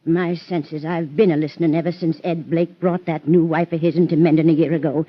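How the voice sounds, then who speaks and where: An actress playing a fussy, scolding farm wife with a rural American accent and folksy exclamations, in old-time radio drama style. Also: female voice, deep voice, flat delivery, fairly quick. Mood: indignant. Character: fussy, nagging, indignant farm wife, busybody neighbor. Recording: dull, lo-fi vintage radio recording.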